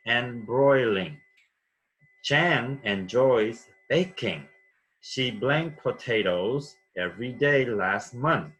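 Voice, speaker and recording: A man's voice: medium pitch, a bit bright, low-quality recording. A man from Vietnam teaching vocabulary patiently, repeating words and checking with "okay?". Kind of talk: classroom vocabulary lesson.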